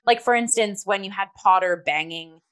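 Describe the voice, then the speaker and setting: A woman in a casual review discussion, hosting and talking in an animated, emphatic way with many filler "likes". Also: medium pitch, normal speed. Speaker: theatre review show host.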